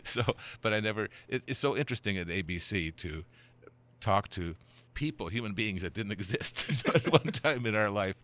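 A very low-voiced older man hosts a relaxed podcast interview. He talks in long, meandering sentences, restarts himself often and chuckles here and there. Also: normal speed. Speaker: podcast host. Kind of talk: casual interview.

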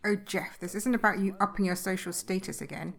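A woman's voice: mid-range voice, fairly quick, vocal fry. A woman acting a chatty, blunt, down-to-earth wife in a comedy audio drama, plain-spoken and chiding. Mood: exasperated.

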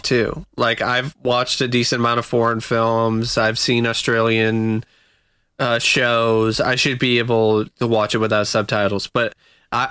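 A man gives his critical take on a film in relaxed podcast conversation, weighing his points and pausing to find the right word. His voice is bright and clear, recorded close and dry with no room sound. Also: medium pitch, dry recording. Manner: measured, critical, searching for words. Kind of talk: casual film review chat.

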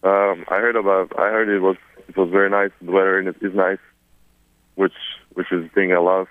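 A Serbian man in his twenties, a newly drafted basketball player, answering press questions in halting, repetitive English. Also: low voice, flat delivery, no echo. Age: 20s. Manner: halting, many repeated words. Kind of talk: phone press conference answers. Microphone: phone. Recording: tinny phone line.

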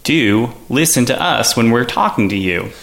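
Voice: mid-range voice, lively intonation, moderate pace, vocal fry. A performer playing an earnest, self-righteous co-host of a silly local show in improvised radio comedy, reading out advice in a sing-song way. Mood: indignant. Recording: roomy sound under loud dance music.

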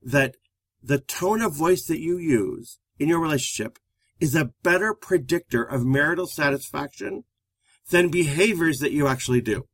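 A man with a loud voice who talks fast, co-hosting a live-streamed relationship talk show. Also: a little high, dry recording. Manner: fast-talking, energetic.

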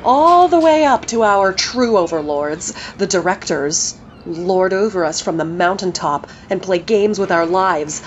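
A woman's voice: fairly low pitch, expressive, a little slow, few pauses. A woman playing a cynical, profane journalist who rages at the people running her world. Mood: furious. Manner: blunt, profane, scornful. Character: cynical fiery woman journalist, furious at corporate rulers. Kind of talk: audio drama acting. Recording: slightly dull audio with faint noise.

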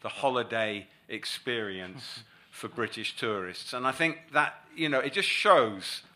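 A man hosting a panel discussion, talking in halting starts with sarcastic mock outrage. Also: mid-range voice, lively intonation, bright, forceful tone.

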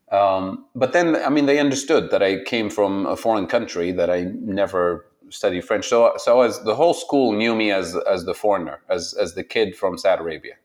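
Deep-voiced man recounting memories. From Tunisia. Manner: reflective, halting, laughs often. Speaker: interviewee. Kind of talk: personal storytelling interview.

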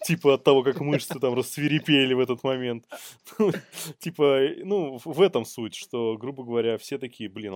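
A man's voice: medium pitch, darkish tone, no echo, studio quality. A man co-hosting a podcast, casually riffing on the news in slangy, rambling talk. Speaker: podcast co-host. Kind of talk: casual comic news chat.

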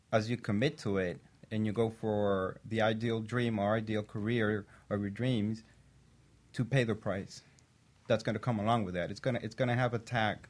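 A man talking as a guest on a talk show, reflective and measured, with a few restarts. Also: lowish voice.

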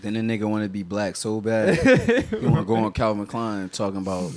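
A man's voice: on the low side, level tone, fast talker, a crowd in the background. A man from Philadelphia co-hosting a casual podcast, blunt and full of slang and swearing. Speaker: podcast co-host.

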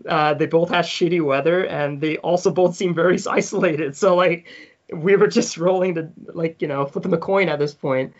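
Animated storytelling man, slightly muffled. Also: fairly high pitch, normal speed. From South Africa. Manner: animated, laughing often, acts out quoted people. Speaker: podcast guest. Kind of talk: casual storytelling.

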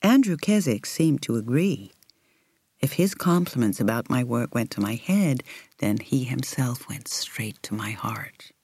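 A woman reading an audiobook in the role of a reflective woman in her thirties recalling her romance, in a measured, intimate, expressive way. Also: rumbling low, husky, dry recording, heavily acted. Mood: fond, reflective. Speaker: audiobook reader. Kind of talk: scripted first-person narration.

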